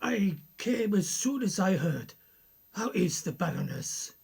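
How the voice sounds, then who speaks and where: A creaky, slow voice from an actress plays a courteous professor in a radio drama. The questions are formal and sceptical, with a lively rise and fall in pitch. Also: female voice, full character voice. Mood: sympathetic, courteous. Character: concerned, courteous professor, a sceptical family friend. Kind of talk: radio play acting.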